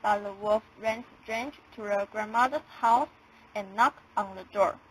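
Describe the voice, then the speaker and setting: A woman's voice: medium pitch, measured pace, slight background noise, rough recording. A young woman narrating a fairy tale from a script.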